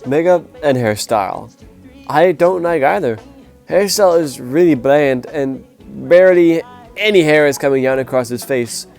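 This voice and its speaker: A man's voice: fairly high pitch, animated, moderate pace, gravelly, a little mellow, faint music behind. A man with a sick, nasal voice giving a blunt, opinionated casual review. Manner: blunt, opinionated, grades with scores. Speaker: music performance reviewer. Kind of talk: casual spoken video review.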